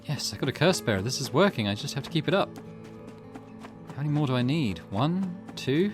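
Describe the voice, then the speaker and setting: A man's voice: medium pitch, very animated, a little fast, over music, voice pushed up, natural voice. A man playing a desperate young male visual novel hero, speaking with urgent intensity. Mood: shaken, determined.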